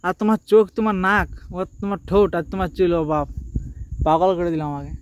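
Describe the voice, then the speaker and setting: Very fast-talking, high-pitched young Bengali man. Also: a little hiss or hum.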